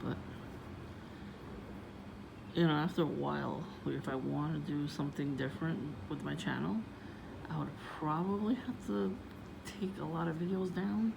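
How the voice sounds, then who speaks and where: New lady chatting low into her phone, rambly, lots of like and you know, noisy recording. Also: moderate pace, creaky. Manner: chatty, meandering, frequent fillers and restarts. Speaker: vlogger. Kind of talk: casual rambling vlog. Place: outdoors, windy.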